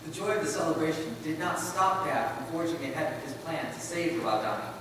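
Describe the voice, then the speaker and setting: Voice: medium pitch, expressive, a little fast, lightly acted. A stage performer as an earnest young son of a missionary pilot, narrating and pleading in a theatrical reading. The voice sits far from the mic in a cavernous, noisy hall. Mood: earnest, reflective. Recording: very echoey hall from afar, noisy.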